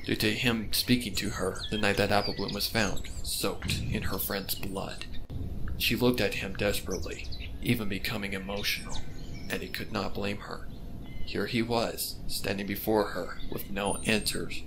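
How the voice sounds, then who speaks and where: A man giving a dramatic, scripted narration of a dark mystery story in a measured, grave manner. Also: fairly low pitch, fairly even intonation, noisy background. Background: music under the voice.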